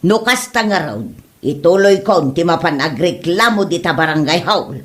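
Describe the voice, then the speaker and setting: A woman's voice: lowish voice, animated, moderate pace, lightly acted. A woman playing a nosy, aggrieved villager in a comedy drama, with an Ilocano Filipino accent. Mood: indignant. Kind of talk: amateur comedy radio-style drama.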